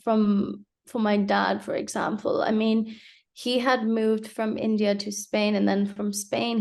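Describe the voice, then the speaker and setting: A woman's voice: medium pitch, vocal fry, darkish tone. A young woman from Hong Kong, speaking reflectively in a casual interview, with many fillers and restarts.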